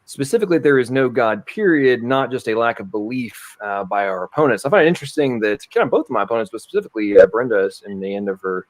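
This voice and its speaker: A man giving a measured, formal opening statement in a debate. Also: mid-range voice, animated, dry recording.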